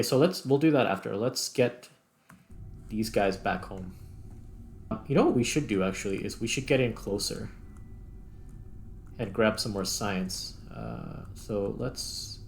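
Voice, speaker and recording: A man's voice: on the low side, vocal fry, a little hiss or hum. A man giving casual, unscripted gameplay commentary, muttering step by step as he thinks aloud. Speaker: host playing and narrating a video game.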